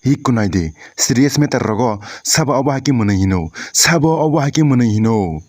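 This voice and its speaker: A man's voice: mid-range voice, lively intonation, quick pace, a crowd in the background. A man giving casual, mocking video commentary in a crude, slangy, ranting way. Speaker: video creator reacting to prank clips.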